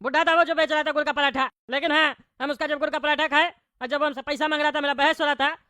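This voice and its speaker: A performer voicing a loud, angry bald male politician, an indignant, threatening buffoon in a Hindi comedy cartoon. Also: high-pitched, steady tone, fast talker, heavy background noise, lightly acted. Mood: outraged. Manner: blustering, threatening, complaining.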